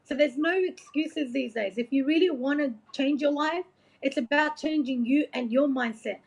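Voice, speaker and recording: A woman's voice: sits high, moderate pace, creaky. A woman from Iraq speaking as a motivational coach in an informal interview, earnest, rambling and encouraging, often saying like and you know. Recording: dull, lo-fi audio.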